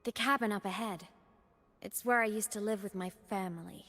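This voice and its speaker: An actress playing a gentle, wistful young woman who is a digital ghost, in an anime game style. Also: medium pitch, brisk. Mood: hesitant, wistful. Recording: game music behind the voice.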